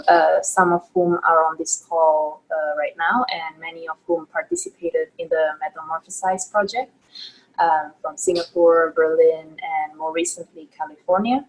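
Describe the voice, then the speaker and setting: Husky low-voiced woman from Indonesia. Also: poor audio. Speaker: performance artist and project manager. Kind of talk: casual self-introduction on a group call. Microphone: video call.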